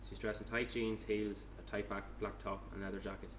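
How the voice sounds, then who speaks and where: A man narrates a screenplay at a table read in a plain, matter-of-fact way. His voice is lowish and very even in pitch. It is picked up from far off in a noisy, echoey room. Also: fairly quick. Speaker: narrator reading stage directions at a table read. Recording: echoey room, distant mic, noisy background.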